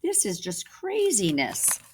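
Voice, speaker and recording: A woman reading a children's picture book aloud in an expressive, teacherly way, with casual explanatory asides. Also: mid-range voice, slow pace, slight background noise. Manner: explains pictures and terms to young listeners.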